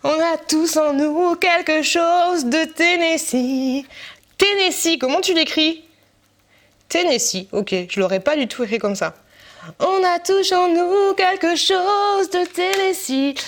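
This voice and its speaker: A woman in her thirties from Brittany, France, chatting casually in a vlog, lively and slangy with frequent laughter. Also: very high voice, slow pace. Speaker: vlogger host. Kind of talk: casual chat.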